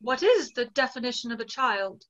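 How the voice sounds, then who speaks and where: A woman in her twenties playing a disillusioned young gentlewoman in a stage play read over a video call, earnest and formally reproving. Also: a little high, expressive, moderate pace. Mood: guarded, questioning. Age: 20s. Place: small plain room at home, on a video call. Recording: dull, lo-fi video call audio.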